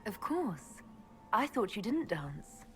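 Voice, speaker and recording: An actress playing a composed, principled heroine in a fantasy game, speaking in an earnest, direct, restrained way. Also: female voice, medium pitch, very animated, slow, soft background music. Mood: weary, soft.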